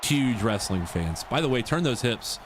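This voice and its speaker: A man's voice: medium pitch, sing-song, moderate pace, noisy background. A man in his thirties hosting a live stream, chatting casually with viewers and reacting to a football game he is playing, often repeating himself. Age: 30s. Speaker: livestream host. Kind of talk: casual live-stream chat over gameplay.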